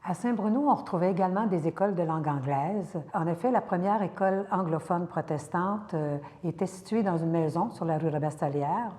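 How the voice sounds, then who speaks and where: An older woman with a Quebec French accent, narrating local history in a measured, careful way with occasional hesitations. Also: fairly low pitch, mellow, big-room sound.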